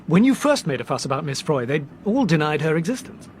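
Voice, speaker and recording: An actor playing a brisk young Englishman who reasons deliberately and articulately, in a British radio drama. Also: on the high side, sing-song. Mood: methodical, sceptical. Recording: noisy train background ambience.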